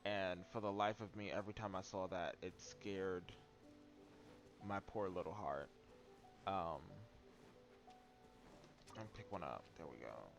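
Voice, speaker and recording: A man's voice: low-pitched, unhurried, gravelly, long pauses. A man doing casual, rambling and playful live-stream commentary while he plays a video game. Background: faint game music.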